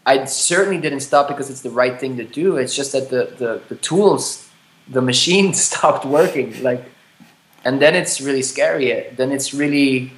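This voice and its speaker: A man in his late thirties speaks in a mid-pitched voice, halting and reflective, often circling back and restarting his sentences. He swears casually as he talks. The recording carries a noticeable room echo. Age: 30s. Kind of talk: candid conversation. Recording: roomy echo.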